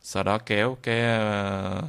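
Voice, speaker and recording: A man with a southern Vietnamese accent giving an improvised software tutorial, halting and repeating phrases as he demonstrates. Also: on the low side, level tone, creaky, clean tone. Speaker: tutorial instructor.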